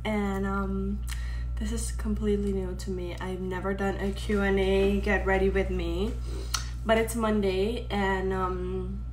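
A woman's voice: mid-range voice, roomy sound, a little hiss or hum. A 26-year-old woman from Suriname whose first language is Dutch, chatting casually while doing her makeup in her bedroom. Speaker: vlogger. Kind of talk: casual get-ready-with-me chat. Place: bedroom, indoors. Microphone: webcam framing at desk.

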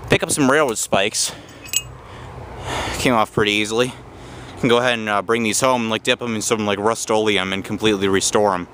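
A man's voice: lowish voice, fairly quick, a bit bright. Rambling man exploring outdoors. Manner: rambling, with restarts and fillers, narrating as he goes. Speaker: vlogger exploring abandoned railroad tracks. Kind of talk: handheld walking commentary. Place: overgrown woods outdoors. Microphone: handheld camera mic while walking. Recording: outdoor noise behind the voice.

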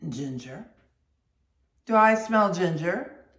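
A reader voicing a boisterous, mocking whaling mate who rants loudly in indignation. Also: medium pitch, animated, measured pace, echoey room, close to an everyday voice. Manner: loud, mocking, indignant outbursts. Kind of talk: audiobook character reading.

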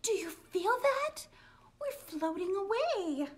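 A woman's voice: sits high, very animated, measured pace, a little mellow, slight background noise, pitched above the performer's own voice. A woman doing an eager, breathless little storybook girl. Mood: excited. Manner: eager, breathless, sometimes whispered. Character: excitable young girl storybook character.

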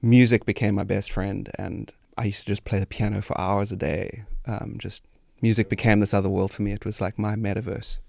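A man with a South African accent talks casually and reflectively as an interview guest. His voice is fairly low with plenty of creak, recorded dry and close in a studio. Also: flat delivery, a little fast, few pauses, darkish tone, dry recording. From South Africa, grew up in Cape Town. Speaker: musician guest. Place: small indoor podcast studio. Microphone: close studio microphone on arm.

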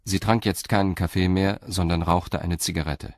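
Narrator for a radio crime drama: a very low male voice, measured delivery. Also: steady tone, dry recording, clean studio sound. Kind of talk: scripted narration.

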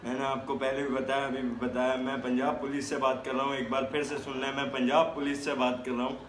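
A man's voice: mid-range voice, level tone, fast talker. Young Pakistani man in an echoey, noisy room. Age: young adult. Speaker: vlogger's friend making prank calls. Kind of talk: casual talk and prank phone call. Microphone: phone camera a little way off.

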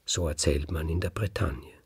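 A man narrating a folk tale as a storyteller, with dramatic pauses and slow emphasis. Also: very deep voice, breathy edge.

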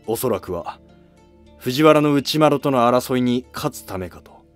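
A man's voice: mid-range voice, lively intonation, measured pace, faint music behind, lightly acted. Man acting scheming, formal Heian courtier. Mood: deferential. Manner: formal, calculating period speech. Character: scheming, ambitious male Heian courtier, deferential to superiors.

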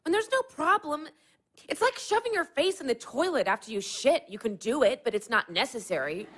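A woman's voice: sits high, lively intonation, brisk, fairly bright tone, slight background noise. An actress playing a needy, defensive young woman in grief, arguing plaintively in a naturalistic audio play. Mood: indignant. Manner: plaintive, argumentative. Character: needy, wounded, defensive young woman, grieving grad student. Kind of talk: naturalistic audio theater acting.

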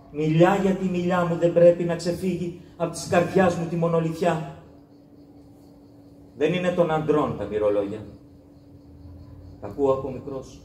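A grief-torn father pours out a slow, anguished lament, as an actor plays him on stage. The voice sits high and strained. It carries from a distance through a reverberant hall with a steady hiss of background noise. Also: close to an everyday voice. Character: grieving father mourning a daughter he wronged. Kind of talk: theatrical monologue, stage declamation.

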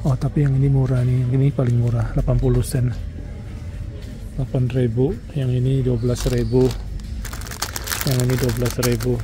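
A man's voice: medium pitch, flat delivery, moderate pace, long pauses, rough recording. A man from Indonesia narrates a casual shopping vlog in an ordinary mid-range voice. He chats to viewers and reads off prices as he browses. Background music runs under his talk. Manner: chatty, points out prices to viewers. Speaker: vlogger. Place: shops and street outdoors.

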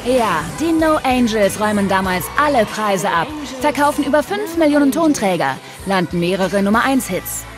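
A woman's voice: mid-range voice, sing-song, moderate pace. Female documentary narrator over music. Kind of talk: scripted TV narration, conversational and playful. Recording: music bed under narration. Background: background music.

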